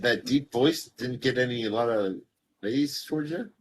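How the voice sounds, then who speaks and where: A man's voice: mid-range voice, moderate pace. A man chatting on a livestream in a blunt, teasing way, swearing freely. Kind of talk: casual livestream chat.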